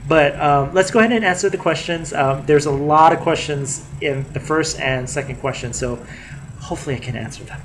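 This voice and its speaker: A man's voice: on the high side, brisk. A young man chats to his webcam from a living room, his voice a bit nasal from a cold. He talks in a loose, rambling way with plenty of fillers. A faint hiss sits under the recording. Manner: chatty, many fillers and restarts. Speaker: vlogger. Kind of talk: casual video diary. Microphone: webcam at desk distance.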